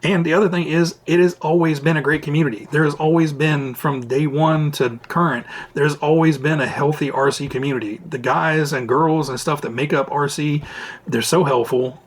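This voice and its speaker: Raspy, tired-voiced man chatting casually. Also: a little high, steady tone, moderate pace, a little hiss or hum, poor audio. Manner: rambling, chatty, self-interrupting. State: voice raspy and tired after long recording. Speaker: RC hobby channel host. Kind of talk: casual Q&A vlog talk. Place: at home, indoors. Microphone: camera mic.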